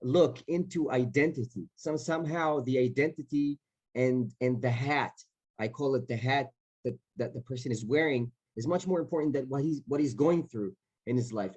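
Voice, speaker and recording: Male actor, Hebrew speaker, mid-pitched and thoughtful, often restarts sentences. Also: dark tone. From Israel. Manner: searching for words, frequent restarts. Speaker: actor on a festival panel. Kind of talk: Q&A answer.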